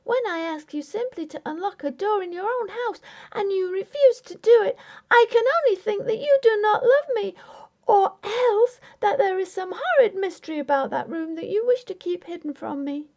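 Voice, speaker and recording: A woman's voice: very high voice, animated, normal speed, darkish tone, voice pushed up. A woman voicing an indignant, tearful, stubborn young Victorian wife in a ghost story audiobook, with formal, emphatic speech. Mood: indignant, hurt. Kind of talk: dramatic audiobook character acting.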